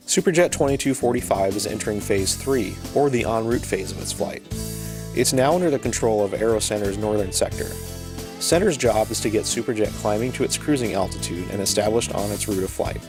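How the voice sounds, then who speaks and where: A man with a fairly low voice delivers scripted educational narration. He explains in a measured, orderly way, with music playing clearly behind him.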